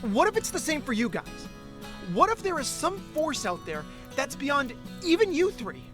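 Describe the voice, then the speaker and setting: Man acting an earnest, very animated ordinary guy. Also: extremely high pitch, moderate pace, music in the background. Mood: nervous, pleading. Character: earnest, lovestruck ordinary guy, stubbornly determined. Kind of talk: amateur audio drama acting.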